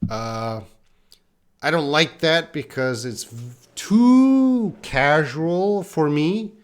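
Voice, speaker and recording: A man's voice: a little high, lively intonation, extremely slow. A middle-aged man teaching a live online English class, answering learners' comments in a plain-spoken way and addressing them by name.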